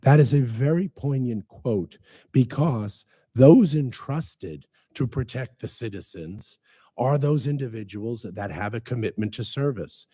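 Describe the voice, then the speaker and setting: A man speaks at a veterans breakfast, his voice worn hoarse by a cold. He delivers formal, earnest remarks with touches of light humor. His tone is mellow and dark, and the sound is dry, with little room around it. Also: mid-range voice, lively intonation, unhurried, no room sound. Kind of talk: formal public speech. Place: city council chamber.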